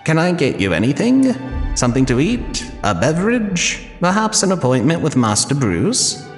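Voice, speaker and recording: A man's voice: a little high, huge pitch swings, normal speed. An actor playing a devoted older male butler in an audio drama, formal, courteous and drily witty. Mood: welcoming, wry. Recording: long reverb, faint music behind.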